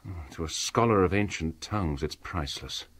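Husky, bookish young English scholar. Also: male voice, deep voice, normal speed. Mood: absorbed, confident. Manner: proper, donnish, slightly fussy. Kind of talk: radio drama acting.

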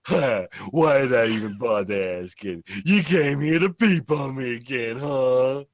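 Man as a brash female shark, teasing, lewd, slow drawl, swooping pitch, comic reading. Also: expressive, no room sound, clean studio sound.

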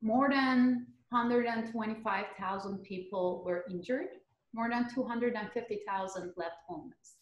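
A woman's voice: on the high side, moderate pace, muffled recording. Turkish-accented woman in an echoey room. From Turkey. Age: 30s. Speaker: podcast guest, geotechnical earthquake engineer. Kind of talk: conversational interview answers. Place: living room at home, indoors. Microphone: computer mic on a video call. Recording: roomy echo on video call.

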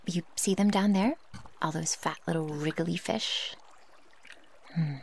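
A woman playing a naive, impatient young werewolf in an ASMR roleplay, speaking softly and breathily in stilted, formal phrasing. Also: lowish voice, measured pace, slight background noise. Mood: earnest, curious. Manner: stilted formal phrasing without contractions, often breathy or near-whispered. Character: feral young female werewolf, naive, curious, impatient, possessive. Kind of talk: ASMR roleplay, intimate one-sided dialogue.

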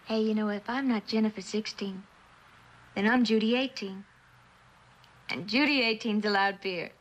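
A woman's voice: medium pitch, normal speed, natural voice. A young American woman playing a brash, flippant, defensive eighteen-year-old orphan girl in a vintage suspense drama, slangy and wisecracking. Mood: cheeky. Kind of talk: 1960s television suspense drama acting. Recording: noisy vintage TV drama soundtrack.

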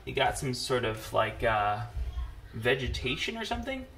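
A man's voice: mid-range voice, very animated, normal speed, sharp tone, faint music behind. A middle-aged man chatting casually as he hosts a drawing session. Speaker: host of a family drawing channel. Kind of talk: casual chat while drawing. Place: indoors at a wooden table. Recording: noisy home recording with clicks.

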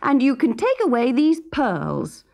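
A woman voicing a spoiled, demanding young princess in a storybook, with petulant, breathy exclamations. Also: sits high, big pitch swings, a little slow, darkish tone, pitched above the performer's own voice, exaggerated voice. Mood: cross, demanding.

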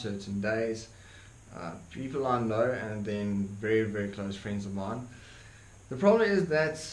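Young Afrikaans-accented man, earnest opinion vlogger, fairly low voice, echoey room. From South Africa. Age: young adult. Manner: earnest, frequent ums and repeated words, gestures with hands. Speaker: YouTuber. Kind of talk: opinion monologue to camera. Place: dark indoor room, seated by desk. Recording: echoey room with faint hiss.

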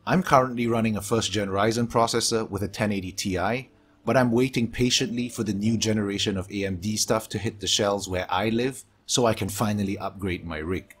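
A man speaking Singaporean English in a fairly low, husky voice. He reads a scripted gaming voice-over at a steady, measured pace. His s sounds hiss, and a low pump hum runs under the recording. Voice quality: strong sibilance, low hum from aquarium pumps. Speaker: gaming YouTuber. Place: home hobby room with aquariums.

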